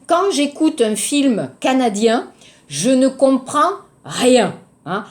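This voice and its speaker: A woman French teacher with a southern French accent explains things step by step. Her voice is rather high, and she speaks very slowly, repeating key words for learners. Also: expressive. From southern France. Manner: didactic, repeats key words, checks understanding. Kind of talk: recorded online language lesson.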